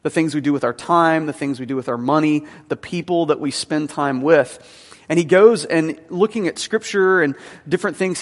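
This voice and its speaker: A man in his thirties, a teaching pastor giving a conversational sermon with frequent fillers, in a church sanctuary. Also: on the high side. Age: 30s. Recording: long hall reverb.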